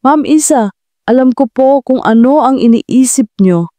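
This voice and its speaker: A woman's voice: sits high, lively intonation, measured pace, no echo, pitched above the performer's own voice. Woman voicing an earnest, pleading young man. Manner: pleading, respectful, soft-spoken.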